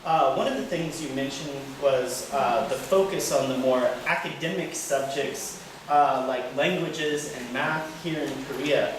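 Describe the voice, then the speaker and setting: Man, moderator, higher voice, measured questions, roomy hall echo, audible background noise. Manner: deliberate, measured questions with "uh" fillers. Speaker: talk moderator. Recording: echoey hall, voice far from mic, noisy.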